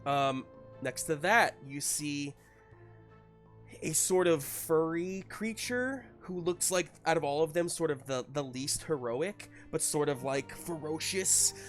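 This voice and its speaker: Higher-voiced male game master over music. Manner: halting, searching for words in pieces. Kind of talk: improvised narration of a tabletop game.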